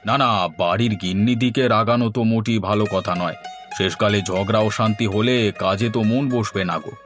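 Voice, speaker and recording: A man voicing a benevolent, dignified boss in a fairy-tale cartoon, magnanimous and measured. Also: fairly low pitch, fairly even intonation, soft background music, close to an everyday voice. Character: benevolent, dignified male boss granting favours.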